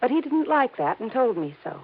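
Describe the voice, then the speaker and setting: A woman's voice: on the high side, sing-song, brisk, vocal fry, a little hiss or hum. An actress playing a worried, earnest young wealthy woman in a 1950s radio drama, on a tinny old broadcast recording. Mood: anxious. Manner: anxious, hesitant, earnest.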